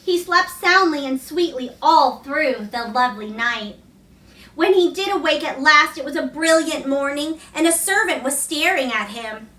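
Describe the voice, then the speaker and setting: High, bright woman reading a story aloud. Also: expressive, moderate pace. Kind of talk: read-aloud storybook narration. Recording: echoey room, distant mic.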